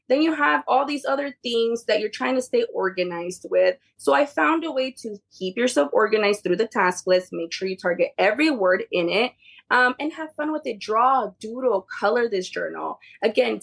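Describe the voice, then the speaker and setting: A woman with a fairly high, creaky voice speaks casually as an interview guest. Spanish is her first language, and she explains things in an informal, animated way. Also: low-quality recording. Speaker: podcast guest, behavior therapy tutor.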